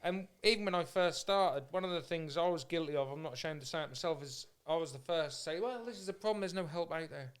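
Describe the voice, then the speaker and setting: Clear, higher-voiced man chatting informally. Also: fast talker, hardly any pauses. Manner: informal, candid, agreeable. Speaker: podcast host. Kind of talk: casual chat.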